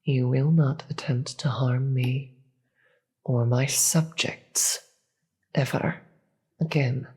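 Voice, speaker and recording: A performer voicing a grave, weary demon queen in a dramatic audio roleplay, with a breathy, husky voice and slow, deliberate delivery. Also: mid-range voice, steady tone, warm tone. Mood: stern, commanding. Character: weary, commanding demon queen, secretly soft-hearted.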